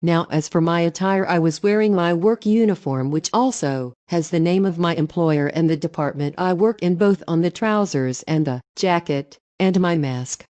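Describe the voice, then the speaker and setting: A woman narrating online stories in an even, matter-of-fact reading voice. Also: fairly low pitch, normal speed, few pauses, no echo, clean studio sound.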